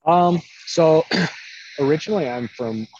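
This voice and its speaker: A man's voice: fairly high pitch, takes it slowly, a little mellow, husky, dry recording, muffled recording. A man from central Iowa, a private chef and podcast guest, telling his story in an easygoing, casual way. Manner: easygoing storyteller, many ums and likes. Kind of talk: casual interview chat.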